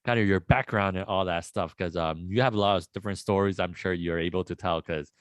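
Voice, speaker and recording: A man hosting a casual podcast interview, rambling and relaxed with many fillers. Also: deep voice, fairly quick, clean tone, no room sound, clean studio sound. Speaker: podcast host.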